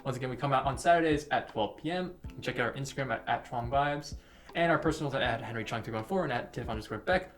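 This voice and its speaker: A man's voice: mid-range voice, fairly bright tone, soft background music. A young man co-hosting a casual podcast, teasing his co-hosts and joking between topics. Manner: joking, teasing, wraps up with quick plugs. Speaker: podcast co-host.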